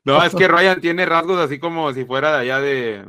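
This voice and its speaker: A man's voice: fairly high pitch, moderate pace, crowd noise. A man with a Mexican Spanish accent, joking and teasing in casual podcast chat. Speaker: podcast co-host.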